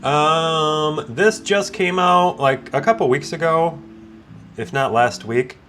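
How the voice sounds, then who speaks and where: A man's voice: on the high side, takes it slowly, a bit bright, faint music behind. A man with a slightly congested, groggy voice, hosting a casual, easygoing product review that often wanders off on tangents. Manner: easygoing, digressive, self-interrupting. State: groggy from waking up, with sinus congestion. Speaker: product reviewer and video host. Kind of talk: casual, rambling unboxing and review chat.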